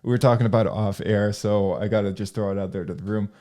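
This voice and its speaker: Guy hosting a casual podcast, kinda low voice, meanders and hesitates as he talks. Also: fairly even intonation, fast talker, dark tone. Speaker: podcast host.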